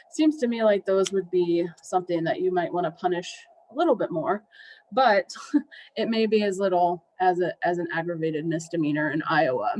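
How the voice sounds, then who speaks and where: A woman's voice: on the low side, normal speed, a little mellow, slight background noise, low-quality recording. A woman with an American accent presenting a legal training, speaking with ums and an occasional wry remark. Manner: wry, with brief laughs and ums. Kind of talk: slide-based legal training.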